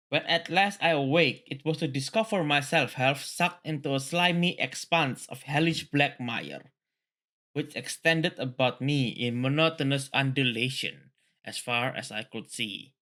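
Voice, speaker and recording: Male, very bright forceful voice, read-along host, casual, repetitive. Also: medium pitch. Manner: rambling, repeats phrases. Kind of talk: book reading mixed with casual commentary.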